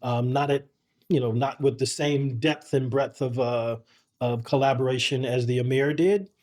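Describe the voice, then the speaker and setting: A middle-aged man, a podcast guest, telling personal stories in an earnest, measured way with frequent restarts. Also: mid-range voice, steady tone, a little mellow. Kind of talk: reflective conversational storytelling.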